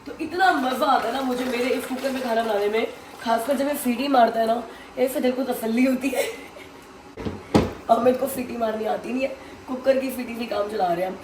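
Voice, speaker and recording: A woman from India with a naturally husky voice, hoarse and strained today, chatting casually to camera as a family vlogger and laughing often. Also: fairly high pitch, a little fast, roomy sound, noisy background.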